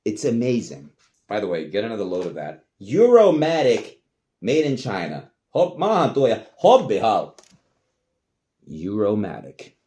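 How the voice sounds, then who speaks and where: A man's voice: lowish voice, a little slow. Guy, swears a lot, teases himself, voice jumps up and down all over. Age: adult. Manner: animated, profane, self-mocking. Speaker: host. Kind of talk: casual commentary reacting to old tapes.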